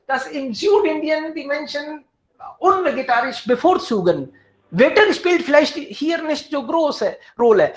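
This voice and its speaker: Discussion host, high and animated voice, recorded in an echoey room. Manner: lively, inviting questions. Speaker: club host. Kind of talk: hosting an online discussion.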